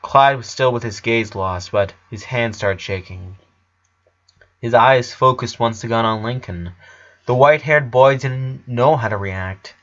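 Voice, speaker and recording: A man's voice: medium pitch, a little slow, fairly bright tone, lo-fi sound. A man reading a story aloud as a dramatic narrator. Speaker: fan fiction reader. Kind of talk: dramatic audiobook narration with character voices.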